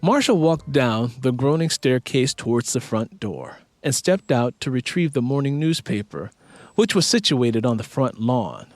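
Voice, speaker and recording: A man's voice: mid-range voice, dry recording. A man reading scripted fiction as an audiobook narrator.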